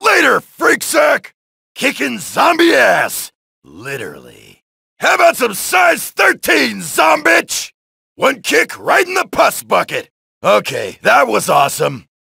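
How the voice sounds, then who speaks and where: A loud, gravelly male soldier from a zombie shooter game hurls one-liners at the undead. The tone is bright and raspy with big leaps in pitch. The voice lines sound dry and close to the mic. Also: wildly swooping pitch, slow, dry recording, exaggerated voice. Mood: taunting. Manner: shouted taunts and one-liners. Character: brash, wisecracking male soldier blasting zombies. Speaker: video game soldier character.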